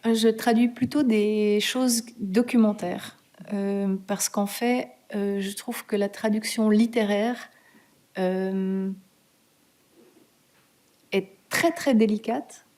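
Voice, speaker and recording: A woman from Switzerland, speaking as a guest author at a university talk. She answers in a hesitant, searching way, full of fillers, restarts and light laughter, at an ordinary middle pitch. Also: fairly even intonation, takes it slowly, a little mellow. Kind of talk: conversational Q&A answers. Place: university meeting room.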